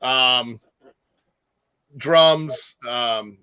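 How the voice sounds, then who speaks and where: A man chatting casually about music as a guest co-host, enthusiastic and slangy, often halting as he gathers his thoughts. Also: medium pitch, pushed and bright, clean tone, no room sound, studio quality. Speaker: guest co-host, metal fan.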